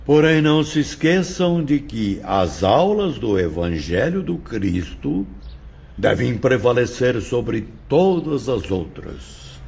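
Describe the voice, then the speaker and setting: A man playing a solemn, patient spiritual teacher who lectures his pupils in a measured, grand manner for an audio drama. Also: mid-range voice, big pitch swings, vocal fry, darkish tone, husky, exaggerated voice. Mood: reverent. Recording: dull lo-fi audio, faint music behind.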